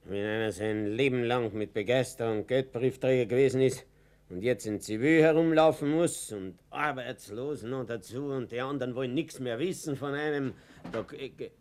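An actor with an Austrian accent playing an excitable, naive postman in his fifties in a radio play, theatrical and rambling. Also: mid-range voice, moderate pace, slight background noise, close to an everyday voice. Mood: plaintive, puzzled. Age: 50s. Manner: theatrical, rambling, dialect-tinged. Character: excitable, naive middle-aged postman, fervent and plaintive. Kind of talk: German radio play acting.

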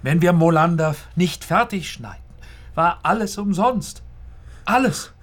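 A man's voice: sits high, lively intonation, a little slow. An actor playing a driven film director who urges his assistant on, in a radio drama. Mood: urgent.

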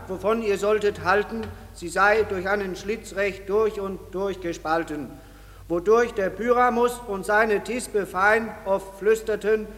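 Reverb of a hall rings around a nervous, simple workman on stage. His high voice recites radio play rhymes in an earnest, stilted, stumbling way. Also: a bit bright. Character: nervous, simple tradesman acting as a wall.

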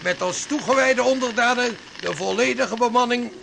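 A man's voice: very high voice, normal speed, vocal fry, noisy background, heavily acted. An actor playing a hearty ship's leader who proclaims to his stranded crew in a jovial, ceremonial way, in a radio drama. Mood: jovial, ceremonious.

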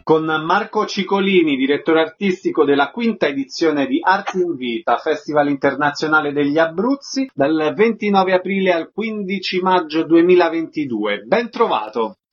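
A man's voice: fairly high pitch, lively intonation, moderate pace, few pauses, husky, highs cut off, faint music behind. A man hosting a radio interview, presenting guests and putting questions to them.

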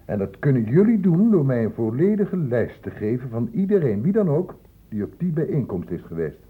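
An actor playing a stern, insistent doctor in a radio play, blunt and urgent, in a lecturing way. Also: male voice, medium pitch, very animated, normal speed, husky. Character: stern, insistent male doctor questioning young people. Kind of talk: Dutch radio play acting. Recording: muffled old radio play recording.

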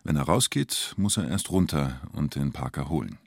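A man's voice: extremely low pitch, expressive, moderate pace, vocal fry, loud music behind the voice. A man narrating a scripted documentary radio drama in terse, short phrases. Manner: terse present-tense storytelling in short clipped phrases.

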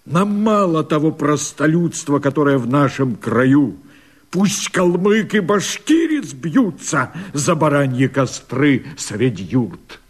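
An actor playing a fiery rebel leader who proclaims himself emperor, declaiming verse in a radio play with husky, impassioned, theatrical oratory. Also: male voice, a little high, sing-song, slow pace, exaggerated voice. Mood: persuading, impassioned.

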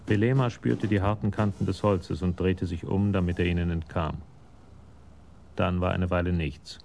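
An actor as the sober, detached storyteller narrating a scripted radio crime drama. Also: male voice, sits low, steady tone, moderate pace, a little mellow, a little hiss or hum.

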